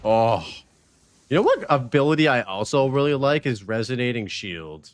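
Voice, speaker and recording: A man in his thirties chats with his live-stream viewers as he plays, loose and slangy with plenty of swearing. His pitch sits mid-range but swings widely as he gets excited. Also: normal speed. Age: 30s. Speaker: game streamer. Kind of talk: casual live-stream chat while gaming.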